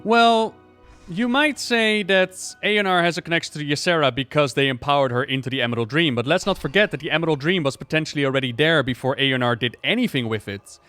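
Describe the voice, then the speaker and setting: Male reaction host, fairly high voice, expressive and chatty, music underneath. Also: fast talker, clean tone. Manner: expressive, exclamatory, thinking aloud. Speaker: video game reaction host. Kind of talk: casual excited reaction commentary.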